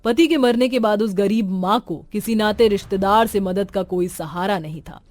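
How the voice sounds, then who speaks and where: A woman's voice: mid-range voice, normal speed, darkish tone, dry recording. A woman reading dramatic scripted narration as the storyteller. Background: music.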